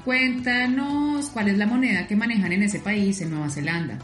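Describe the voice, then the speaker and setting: A woman's voice: medium pitch, normal speed, roomy sound, faint music behind. A Colombian woman interviewing a guest in a casual, upbeat way, full of fillers. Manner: upbeat, fillers like "pues" and "súper". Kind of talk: casual interview over a call.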